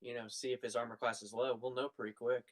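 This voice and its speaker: A man's voice: medium pitch, little pitch movement, fast. A man playing a tabletop role-playing game, discussing the next move casually.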